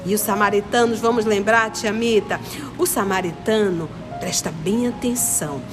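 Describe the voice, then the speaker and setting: A woman's voice: mid-range voice, moderate pace. A woman with a northern Brazilian accent from Manaus teaches a children's lesson, explaining step by step, repeating points and putting questions to her listeners. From Manaus, Amazonas, Brazil. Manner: didactic, repetitive, questioning listeners. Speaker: children's religious study teacher. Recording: long reverberant echo, music behind. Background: clear background music.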